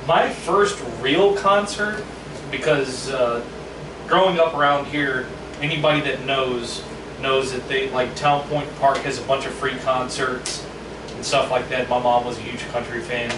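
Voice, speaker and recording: A young man from Virginia telling a story in casual chat, profane and animated. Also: medium pitch, measured pace. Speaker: band member. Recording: roomy, distant mic with noise.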